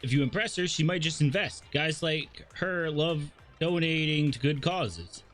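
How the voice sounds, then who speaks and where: A man's voice: a little high, measured pace, fairly bright tone. A man livestreaming a game in a casual, irreverent way, slangy and sweary as he reads dialogue and reacts. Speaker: streamer. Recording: game music behind the voice.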